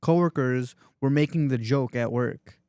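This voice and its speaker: A man's voice: mid-range voice, a little slow, warm tone, no room sound. A man co-hosting a casual podcast chat, teasing his partner, laughing often and swearing casually. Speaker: podcast co-host.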